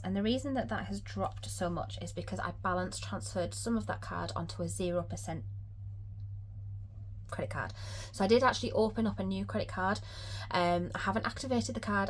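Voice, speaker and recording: Woman, chatty and upbeat vlogger, slightly stuffy with a cold, faint hum in the room. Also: medium pitch. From Northeast England. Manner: chatty, many ums, upbeat. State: sniffly with a cold. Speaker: budgeting vlogger. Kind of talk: casual vlog chat.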